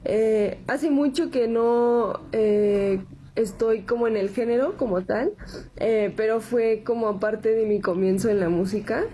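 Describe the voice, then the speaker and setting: Mellow-voiced young woman guest. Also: on the high side, unhurried, slight background noise. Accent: Mexican Spanish. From San Antonio, Texas. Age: 20s. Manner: soft-spoken, many "este" fillers. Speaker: guest musician. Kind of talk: interview answers.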